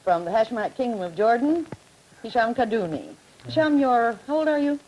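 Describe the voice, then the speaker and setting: Woman, 1950s television moderator; mellow, brisk, teases her young guests. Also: on the high side, lively intonation, a little hiss or hum, poor audio. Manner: brisk, teasing questions to guests. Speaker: moderator of a televised student forum.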